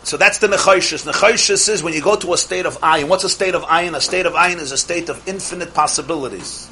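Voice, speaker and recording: A man teaching a Torah class, lively and emphatic, mixing in Hebrew terms and swinging from loud exhortation to quiet asides. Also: on the high side, brisk, slight background noise.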